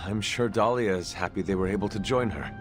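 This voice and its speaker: Man as deep-voiced stoic game hero, reverberant. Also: music in the background, close to an everyday voice. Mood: supportive. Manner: formal, measured, few contractions. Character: stoic male demon-tempted hero, reserved and supportive. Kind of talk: video game dialogue acting.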